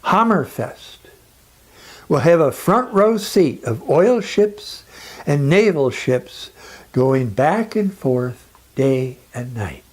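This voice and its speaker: Guy giving a serious scripted talk, really slow and emphatic, pitch moves around a lot, dramatic pauses. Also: big pitch swings. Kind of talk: scripted persuasive lecture narration.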